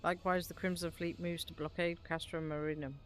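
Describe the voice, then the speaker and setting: A woman's voice: on the low side, little pitch movement, fairly quick, close to an everyday voice. A woman reading out a deferential imperial officer who reports grimly to his lord in a formal, subdued manner. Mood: grave, deferential. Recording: music behind the voice.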